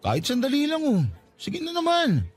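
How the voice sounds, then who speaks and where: A man's voice: extremely high pitch, wildly swooping pitch, normal speed, heavily acted. An actor playing a lovestruck, persistent young working-class man, pleading insistently in a radio drama. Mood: pleading, lovesick. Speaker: voice actor in a radio-style love story. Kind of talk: Filipino radio drama acting.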